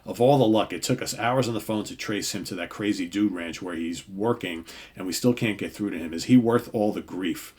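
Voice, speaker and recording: A brash superhero, read aloud by a man from a comic complains in a low voice. He sounds blunt and impatient, an everyday guy fed up with waiting. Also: fairly quick, a little mellow, breathy edge. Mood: frustrated.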